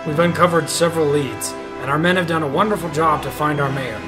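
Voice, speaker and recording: A man's voice: on the high side, a little fast, over music, close to an everyday voice. A man playing an earnest, authoritative police commissioner in an audio drama, firm and commanding.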